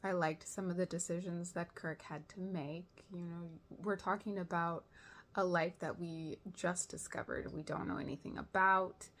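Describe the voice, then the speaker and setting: Woman doing casual episode reactions, kinda low voice, playfully dramatic, pokes fun at herself. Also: moderate pace. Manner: expressive, self-deprecating, playfully dramatic. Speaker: host of an episode reaction show.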